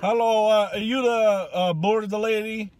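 A man voicing an older immigrant grandfather on a comedic prank call, confused and crude, in halting, repetitive broken English. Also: sits high, steady tone, noisy background. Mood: polite, confused. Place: inside a parked car.

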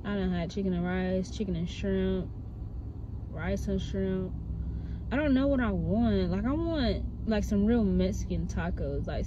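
A young woman, chatting casually into her phone while she drives, rambling with lots of fillers. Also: medium pitch. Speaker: vlogger. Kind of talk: casual chat while driving. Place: in a car on the road. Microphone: phone mounted in the car. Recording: dull phone audio, loud road noise.

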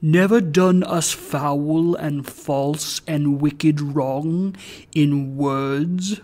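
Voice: fairly even intonation, drawn-out words, darkish tone. A narrator voicing a stern, ancient goblin spirit of a great bell in a storybook, speaking in grave, accusing oratory. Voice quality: low, deep.

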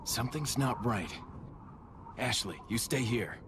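An actor playing a cool, wry young government agent in a video game, dry and terse. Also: male voice, mid-range voice, lively intonation, a little slow, natural voice. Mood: determined. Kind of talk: video game cutscene acting. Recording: noisy game ambience behind.

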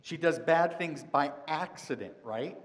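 A middle-aged man, a pastor, giving a children's sermon and asking the kids many gentle questions. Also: on the high side, a little slow. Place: large church sanctuary. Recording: long church reverb.

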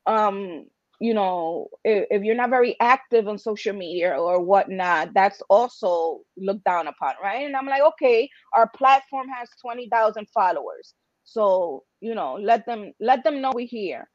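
A woman's voice: mid-range voice, unhurried, no room sound. A middle-aged woman giving emphatic, passionate, conversational answers as an interview guest, often with rhetorical questions. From Dominican-American. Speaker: interview guest, writers' association founder. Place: indoors on a video call. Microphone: computer video-call microphone. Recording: dull, lo-fi video-call audio.

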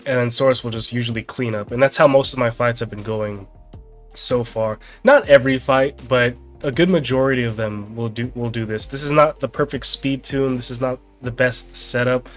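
A man's voice: mid-range voice, moderate pace, a bit bright. A man in his thirties, slightly nasal as he gets over a sickness, giving a casual game walkthrough. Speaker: game guide video host. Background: background music.

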